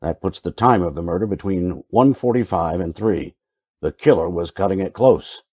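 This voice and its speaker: A man acting a shrewd, world-weary detective in an old-time radio drama, deadpan and wry in delivery. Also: sits low, moderate pace, slightly rough, dry recording, studio quality. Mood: wry, probing.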